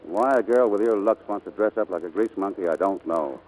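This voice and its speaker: A man's voice: low-pitched, brisk, darkish tone, a little hiss or hum, natural voice, low-quality recording. An American actor playing a brash, charming, dismissive male pilot in 1940s radio drama style. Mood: blunt, teasing.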